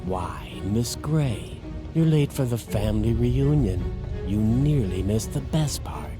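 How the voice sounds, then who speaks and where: An actor playing a sinister, vengeful painter in a video game, speaking in a theatrical, taunting and menacingly polite manner. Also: male voice, mid-range voice, lively intonation, music in the background. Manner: slow, theatrical, taunting delivery. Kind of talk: video game villain monologue.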